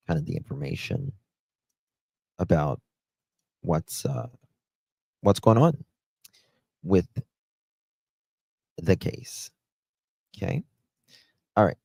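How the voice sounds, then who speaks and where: Slow, gravelly Southern livestream man. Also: low-pitched, darkish tone, no room sound. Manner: halting, unhurried. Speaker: livestream host. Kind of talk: casual livestream commentary. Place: small room at home, indoors. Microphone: close desk microphone.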